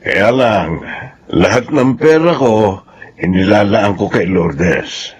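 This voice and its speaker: Radio drama: actor as a fond older boss-father, chuckling, lively swooping delivery. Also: lowish voice. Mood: fatherly. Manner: fatherly and deliberate, with soft chuckles.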